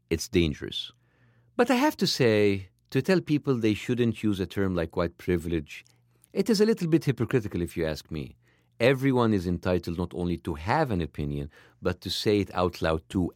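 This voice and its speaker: Accented male podcast host, low voice, swings up high for emphasis, dry studio read. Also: moderate pace, no room sound. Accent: foreign-accented English. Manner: animated, wry, pitch jumping up for emphasis. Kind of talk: scripted podcast narration.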